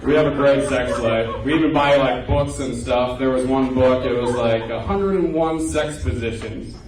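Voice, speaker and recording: A man's voice: medium pitch, moderate pace, a crowd in the background. Man in his twenties doing stand-up. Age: 20s. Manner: loose, conversational storytelling with self-deprecating punchlines. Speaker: stand-up comedian. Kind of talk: stand-up comedy set. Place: comedy club stage before a live audience. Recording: echoey live room, distant mic, audience noise.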